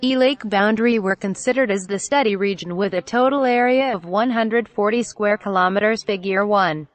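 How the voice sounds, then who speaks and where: A woman's text-to-speech voice reading technical text aloud in a measured, impersonal manner. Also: medium pitch, no room sound.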